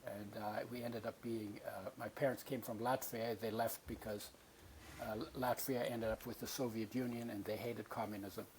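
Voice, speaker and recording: A man's voice: on the low side, moderate pace, slight background noise, rough recording. A man in his eighties, born in Poland, telling his life story as a podcast guest and acting out voices from his memories. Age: 80s. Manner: animated storyteller, acts out remembered voices.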